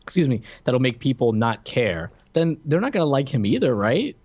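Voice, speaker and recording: A man's voice: mid-range voice, big pitch swings, normal speed, breathy edge, lo-fi sound. A man co-hosting a podcast, recapping and chatting casually, with hesitant, stammered repeats of words. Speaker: podcast co-host reviewing films. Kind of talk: casual conversational plot recap.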